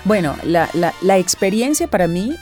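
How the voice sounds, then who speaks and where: A woman's voice: lowish voice, animated, normal speed, darkish tone, soft background music. A middle-aged woman from Venezuela who works as a voice actress, answering interview questions in a reflective way, often restarting and tagging her sentences with questions.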